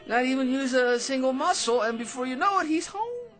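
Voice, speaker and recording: An actor playing a tipsy, cheeky barroom blowhard who rambles, stammers and wheedles in an old-time radio drama style. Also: male voice, extremely high pitch, lively intonation, brisk, fairly bright tone. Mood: tipsy, opinionated. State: drunk. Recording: music behind radio drama dialogue.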